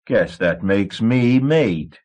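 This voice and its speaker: A man's voice: lowish voice, lively intonation, slow pace, darkish tone, voice pushed up. A man doing a smug, cocky knife fighter. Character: cold cocky young male knife fighter.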